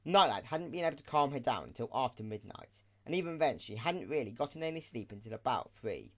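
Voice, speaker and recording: A man's voice: medium pitch, lively intonation, a little fast, a bit bright. A man reading scripted story narration for an audio drama.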